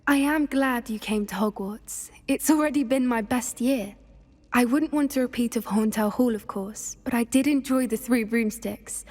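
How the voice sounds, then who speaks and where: A shy young student, played by a woman, speaking softly and earnestly in a light, breathy voice. Long reverb trails her words, with faint music behind. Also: a little high, close to an everyday voice. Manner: soft, earnest, a little halting. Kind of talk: video game voice acting.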